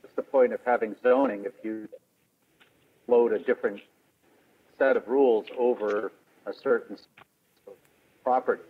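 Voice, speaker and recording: A man's voice: medium pitch, steady tone, normal speed, pauses often. A man questioning a proposal as a committee member in a remote meeting. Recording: tinny phone line with clear noise.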